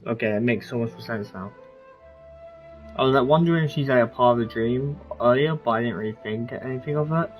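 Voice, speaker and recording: A man's voice: mid-range voice, fairly quick, highs cut off. A young man giving casual gameplay commentary, rambling informally as he thinks aloud. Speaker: gaming streamer. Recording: game music behind the voice.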